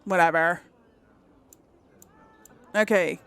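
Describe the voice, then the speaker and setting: A woman's voice: lowish voice, steady tone, drawn-out words, a bit bright, clean tone. A woman giving casual video game commentary, thinking aloud as she chats to her audience. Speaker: host of a video game let's play.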